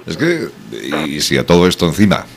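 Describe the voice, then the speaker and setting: A Spanish radio host giving an emphatic, salesy sponsor promotion with lots of exclamations. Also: male voice, sits low, sing-song, brisk. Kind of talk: enthusiastic radio promo chat.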